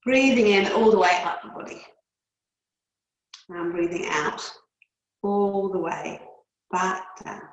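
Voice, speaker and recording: A woman yoga teacher leading guided relaxation and breathing in a calm, soothing, unhurried way with long pauses. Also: medium pitch, dull audio. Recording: roomy, echoey indoor sound.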